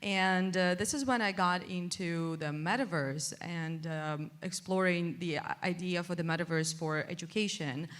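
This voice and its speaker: A woman's voice: fairly low pitch, fairly bright tone. A woman tech founder speaking on a conference panel, enthusiastic, with many fillers and restarts. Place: conference stage.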